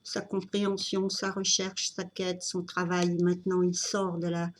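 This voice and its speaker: A woman with a cold giving an unscripted tarot reading, talking in a halting way with many fillers and restarts. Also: on the low side, level tone, a little hiss or hum. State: has a cold. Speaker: tarot reader.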